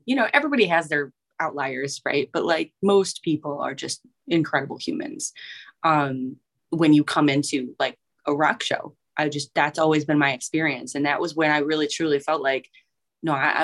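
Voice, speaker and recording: A woman with a very deep voice talks as a podcast guest. She is enthusiastic and chatty, and she keeps checking in with "right?" as she speaks. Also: a bit bright. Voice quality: low voice. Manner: enthusiastic, uses lots of "like" and "right?". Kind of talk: casual podcast chat.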